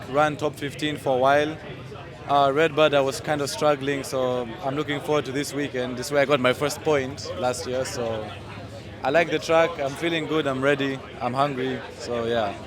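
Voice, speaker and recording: A man's voice: mid-range voice, steady tone, normal speed. Young man from Uganda, crowd around. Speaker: racer. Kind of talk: press day interview. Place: outdoors at a race track. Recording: outdoor crowd noise.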